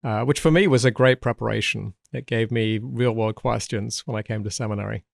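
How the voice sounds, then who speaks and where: A man's voice: on the low side, fairly even intonation, fairly quick, gravelly, no echo, studio quality. A middle-aged man with a mixed South London and American accent, speaking thoughtfully as a podcast guest, hesitant, with fillers and restarts. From England. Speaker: guest, Old Testament scholar. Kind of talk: relaxed podcast conversation.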